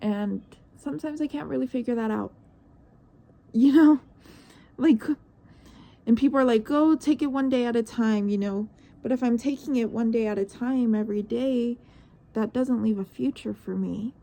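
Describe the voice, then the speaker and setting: Low-key, gravelly nineteen-year-old woman musing. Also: normal speed, mellow. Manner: searching, hesitant, trails off into questions. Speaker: vlogger. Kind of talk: reflective personal monologue.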